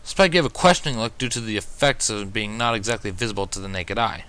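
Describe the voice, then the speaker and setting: Bright-voiced man reading fan fiction aloud. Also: fairly low pitch, animated, fast, clear, resonant tone. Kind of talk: first-person story narration.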